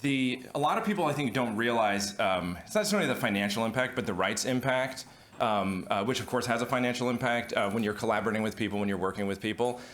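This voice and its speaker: A man's voice: mid-range voice, fast, a bit bright, slight background noise. A man speaking as a music lawyer on a conference panel, with hesitant, restart-filled phrasing. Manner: hesitant, many ums and restarts, self-deprecating. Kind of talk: panel talk.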